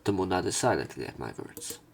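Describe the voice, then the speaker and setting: A man's voice: low-pitched, lively intonation, brisk, vocal fry, a little hiss or hum. A man narrating a visual novel let's play, reading the text aloud and adding his own asides.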